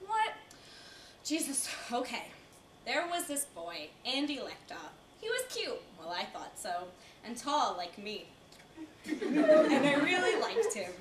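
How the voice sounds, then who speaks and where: Young woman acting old lady, reluctant, flustered, theatrical monologue, lively swooping pitch, echoey distant recording. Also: slow, full character voice. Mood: wistful. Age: young adult. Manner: flustered, confiding, dramatic stops and starts. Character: reluctant, embarrassed old American lady reminiscing about her girlhood. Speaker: student stage performer. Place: indoor college performance before audience. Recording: echoey room, distant mic, faint hiss.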